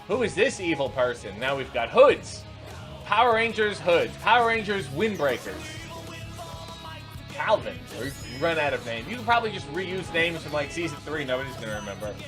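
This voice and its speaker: A man's voice: fairly high pitch, very animated, moderate pace, music in the background. A man hosting a reaction video, giving unscripted running commentary in an exasperated, sarcastic, incredulous way.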